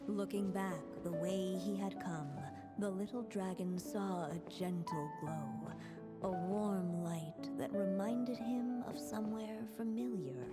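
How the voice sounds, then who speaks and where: A woman playing a solemn storybook narrator in a video game, telling a fairy tale in a measured, literary manner. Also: medium pitch, lightly acted. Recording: long hall-like reverb under music.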